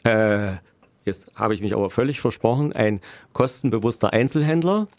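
Deliberate middle-aged man, dry and close-sounding. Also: lowish voice, lively intonation, darkish tone, no room sound. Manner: deliberate, halting, dryly ironic. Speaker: local politician interviewed, road planner by profession. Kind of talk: interview answers, explanatory political commentary.

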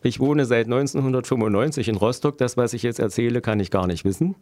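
Deliberate middle-aged man, dry and close-sounding. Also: medium pitch, darkish tone, no room sound. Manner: deliberate, halting, dryly ironic. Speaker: local politician interviewed, road planner by profession. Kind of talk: interview answers, explanatory political commentary.

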